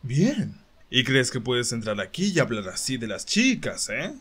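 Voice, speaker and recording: A stern, haughty older schoolmaster acted by a man in a dubbed teen drama. He speaks Latin American Spanish in a pompous, grilling way, with his pitch rising and falling sharply. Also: sing-song, a little slow, fairly bright tone, husky, full character voice. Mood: suspicious, scolding. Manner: pompous, interrogating. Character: stern older male French department head, indignant and haughty.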